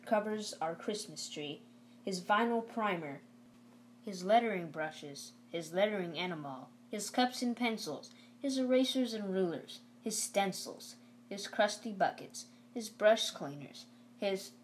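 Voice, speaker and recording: A woman narrating a novel aloud in an expressive storybook read-aloud style. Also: mid-range voice, a little hiss or hum.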